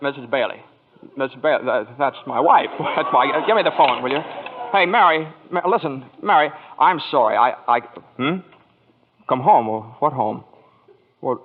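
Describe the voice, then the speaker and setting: Earnest young small-town lender: high, flustered, repetitive, radio drama on a thin vintage recording. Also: male voice, narrow, tinny audio. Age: young adult. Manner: stammering, pleading, repeats himself. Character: earnest young small-town savings-and-loan man, flustered but decent. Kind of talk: old-time radio drama acting. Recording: band-limited old radio broadcast recording.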